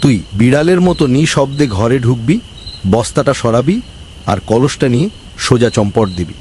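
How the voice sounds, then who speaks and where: A man's voice: medium pitch, normal speed, darkish tone, slight background noise, close to an everyday voice. A man voicing a greedy, scheming village council member in a cartoon, bossy and scolding. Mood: scolding, commanding. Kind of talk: cartoon voice acting.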